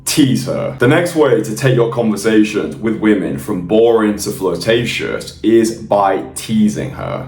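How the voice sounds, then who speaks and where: A man's voice: lowish voice, a little mellow. A young man coaching in a blunt, emphatic and confident way, swearing freely. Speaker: dating coach. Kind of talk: direct-to-camera coaching monologue. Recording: roomy sound, mic at a distance.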